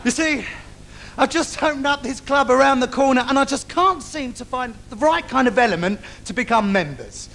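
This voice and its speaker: A man's voice: extremely high pitch, lively intonation, moderate pace, creaky, a bit bright, exaggerated voice. A male actor playing a cheeky, camp, bitchy comic sidekick in an adult stage pantomime, calling out to the audience. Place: theatre stage. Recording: noisy live theatre stage recording.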